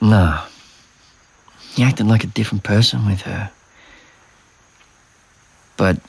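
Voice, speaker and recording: A low, gravelly young man in an audio drama. He sounds troubled and unsure of himself, talking casually with a self-deprecating edge and a husky rasp. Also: takes it slowly, full character voice, low-quality recording. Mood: guarded. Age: young adult. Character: troubled, self-doubting young man haunted by his brother. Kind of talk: naturalistic audio drama acting.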